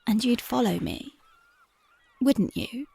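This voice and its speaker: A voice actress playing a young punk girlfriend, speaking softly and whispering in a dreamy, intimate, affectionate ASMR roleplay close to the mic. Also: on the high side, big pitch swings, takes it slowly, creaky, breathy edge, exaggerated voice. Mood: tender dreamy. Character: young punk woman, tender devoted girlfriend.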